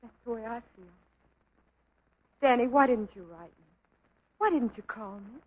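An actress playing a tender but firm young stage dancer in an old film melodrama. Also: female voice, a little high, moderate pace, breathy edge, highs cut off. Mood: glad.

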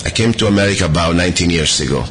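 A middle-aged man from Italy with an Italian accent, hosting an opinionated talk radio show in earnest, halting speech full of fillers and restarts. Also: low-pitched, expressive, fairly bright tone, faint music behind.

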